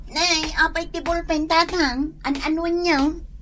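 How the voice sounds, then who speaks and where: A woman's voice: extremely high pitch, fairly even intonation, slow, fairly bright tone, heavily acted. A woman playing a put-upon young mother with an Ilocano accent in a comedy radio drama. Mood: surprised.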